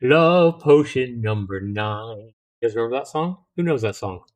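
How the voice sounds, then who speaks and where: A man's voice: medium pitch, lively intonation, normal speed. A middle-aged man hosting a livestream, reading a visual novel aloud with casual asides to viewers and occasional stumbles over the text. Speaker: livestream host.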